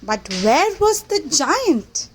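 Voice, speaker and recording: A woman reading a picture book aloud to young children, with simple deliberate phrasing and questions to the listeners. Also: sits high, sing-song, slight background noise. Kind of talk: children's read-aloud storytelling.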